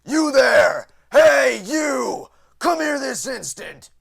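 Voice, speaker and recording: A man voicing a gruff, zealous pony army commander in a storybook reading, rough and strained, barking in a commanding military manner. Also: very high-pitched, sing-song, extremely slow, fairly bright tone, no room sound, raised voice, studio quality, pitched above the performer's own voice, exaggerated voice. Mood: barking orders. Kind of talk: audiobook character voice, military storybook.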